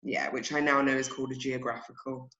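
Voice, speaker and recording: A woman's voice: extremely low pitch, little pitch movement, fairly quick, fairly bright tone, roomy sound, lo-fi sound. A woman with an English accent, a podcast guest telling her personal story in a frank, self-deprecating, reflective way. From England. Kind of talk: candid personal story in an interview.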